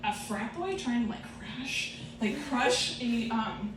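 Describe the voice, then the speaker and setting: A woman's voice: a little high, expressive, slow, noisy background. A woman whose first language is Romanian tells a comic story on stage, rambling, swearing and laughing at herself, recorded from a distant mic in an echoey live room. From Romanian family. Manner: rambling, swearing, self-mocking, laughing often. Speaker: comedic storyteller. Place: live performance venue with audience.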